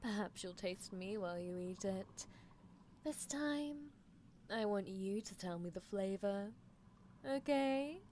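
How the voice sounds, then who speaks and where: A woman's voice: mid-range voice, lively intonation, dark tone, slight background noise. A woman voicing an eerie, playful mad-scientist horse girl in an ASMR roleplay, teasing and murmuring as she dotes on her test subject. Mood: coaxing, teasing. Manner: teasing, unhurried, murmured musing with hums.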